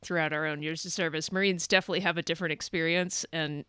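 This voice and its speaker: A woman's voice: low-pitched, fairly even intonation, quick pace, a bit bright, clean tone, dry recording, clean studio sound. A woman hosting a podcast, chatty and encouraging as she interviews her guest. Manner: chatty and encouraging, with frequent fillers and laughs. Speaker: podcast host, Navy reservist. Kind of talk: casual interview conversation.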